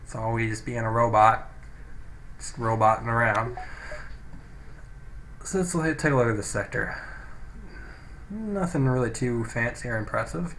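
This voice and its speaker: A man with a mid-pitched voice hosts a gaming video. He talks casually and offhand, thinking aloud as he plays. The cheap microphone gives a rough recording with faint noise behind him. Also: animated, unhurried, pauses often, fairly bright tone, rough recording. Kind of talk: casual let's play commentary. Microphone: cheap low-quality microphone.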